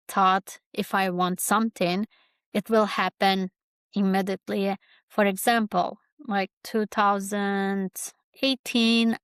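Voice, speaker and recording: A young woman talks slowly through a personal story, her voice carrying a gravelly fry. She keeps a fairly level tone and stops often, trailing off and restarting. The sound is close and dry. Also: medium pitch, dry recording. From Kurdish region of Turkey. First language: Kurdish. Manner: hesitant, many fillers and restarts. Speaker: podcast host.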